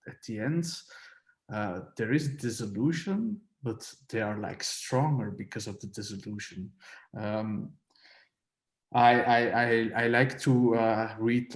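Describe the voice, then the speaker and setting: A man from Belgium, a filmmaker on a panel, answering earnestly and haltingly with repeated words. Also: mid-range voice. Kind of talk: conversational interview answers. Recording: echoey room.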